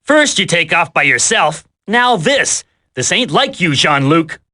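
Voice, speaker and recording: Brash, forceful male squad leader. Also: a little high, very animated, a little slow, heavily acted. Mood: exasperated. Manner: brash, slangy, bossy. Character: gruff, impatient, wisecracking male squad leader. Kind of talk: video game voice acting.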